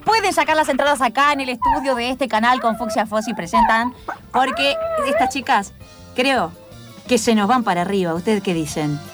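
A woman's voice: fairly high pitch, lively intonation, normal speed, music in the background, close to an everyday voice. A woman with an Argentine accent playing a showy, self-congratulating TV problem-solving host in improvised radio comedy. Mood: triumphant. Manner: theatrical, showy, asks for applause.

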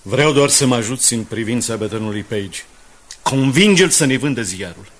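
An actor playing an insinuating, mock-polite male newspaper reporter who needles and threatens smoothly in a radio play. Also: medium pitch, animated, natural voice. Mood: coolly menacing. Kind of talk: 1980s radio play acting.

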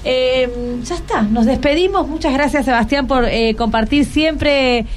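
A woman's voice: high-pitched, a little slow, a little hiss or hum. A woman with a Buenos Aires accent, hosting a casual radio interview with children in a conversational, question-prompting way. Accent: Argentine, Buenos Aires. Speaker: radio host.

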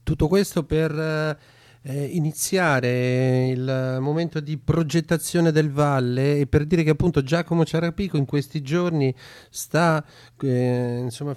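A man presents events informally on the radio. His voice sits at a medium pitch with a soft, mellow tone. He speaks a bit haltingly, as if composing as he goes.

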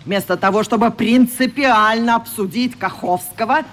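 A woman's voice: fairly high pitch, normal speed, natural voice. An actress playing a strict, indignant schoolteacher in a radio play, lecturing her class in a stern, haughty manner. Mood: scolding, severe.

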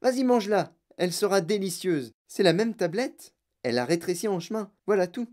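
A narrator voicing an excitable, bossy, eccentric male chocolate factory owner in a children's audiobook, with exclamatory, theatrical commands. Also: mid-range voice, a little fast, voice pushed up, close to an everyday voice. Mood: exultant. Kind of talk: children's audiobook character acting.

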